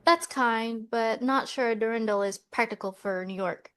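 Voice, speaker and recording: A woman plays the sensible Millennial American twin in a romcom read. She is deadpan and sceptical, in a fairly high young voice. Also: unhurried, clear, resonant tone. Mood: teasing, dry. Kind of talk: table read of a romcom script.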